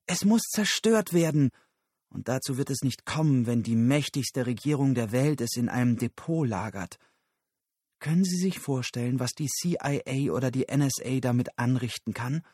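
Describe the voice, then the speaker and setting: Guy doing an angry fiery woman, voice pushed way up high, agitated and emphatic, storybook reading. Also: lively intonation, moderate pace, darkish tone, slightly rough, no room sound, studio quality. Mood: furious. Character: furious, combative woman activist, fiery and urgent.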